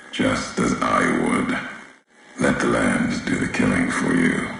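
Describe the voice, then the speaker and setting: An actor playing a brooding, fatalistic warrior courier in a video game, speaking solemnly in clipped, cryptic phrases with a very deep gravelly voice. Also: male voice, fairly bright tone, heavy reverb, a little hiss or hum, heavily acted, rough recording. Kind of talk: video game character dialogue, slow cryptic monologue.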